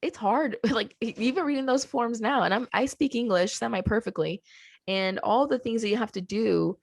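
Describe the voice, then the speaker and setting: A woman who grew up in Colombia telling her family story as a podcast guest, animated, laughing easily and slowing down for emphasis. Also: fairly high pitch, gravelly, no echo. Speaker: podcast guest, business co-founder. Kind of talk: casual storytelling interview. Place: at home with a child nearby.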